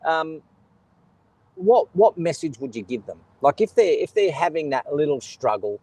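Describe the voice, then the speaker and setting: A man's voice: fairly high pitch, sing-song, moderate pace, vocal fry, dark tone, dry recording, low-quality recording. A man with an Australian accent hosting a casual conversation, joking, laughing often and reading out viewer comments. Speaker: host. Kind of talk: casual chat.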